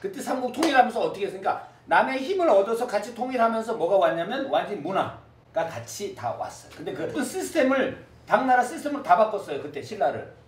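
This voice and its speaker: A man teaching a class, with a lively manner, repeating words for students to echo. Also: sits high, fast. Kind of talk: classroom lecture. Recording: echoey room from a distance.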